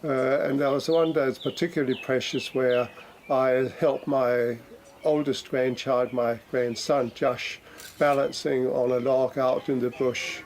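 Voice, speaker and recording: An older man from Denmark, answering interview questions hesitantly with many pauses and fillers. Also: mid-range voice, steady tone, darkish tone, a little hiss or hum. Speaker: project participant.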